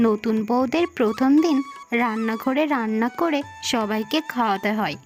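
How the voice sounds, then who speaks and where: A woman voicing a polite older mother-in-law in a cartoon, talking in a gently coaxing way. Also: fairly high pitch, moderate pace, close to an everyday voice. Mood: gentle, welcoming. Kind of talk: Bengali moral-tale cartoon voice acting. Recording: music behind the voice.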